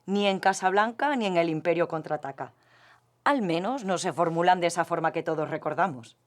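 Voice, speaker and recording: A woman's voice: fairly low pitch, a little fast, close to an everyday voice. A woman playing a composed academic psychologist, explaining articulately and patiently in a scripted expert interview. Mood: didactic, composed.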